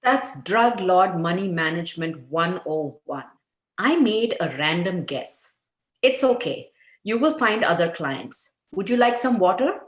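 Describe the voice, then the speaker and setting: A woman's voice: lowish voice, lively intonation, unhurried. Woman acting as doctor wife, firm, earnest, chiding, amateur table read, echoey call audio. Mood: calm, reassuring. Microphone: video call. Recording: roomy, echoing video-call audio.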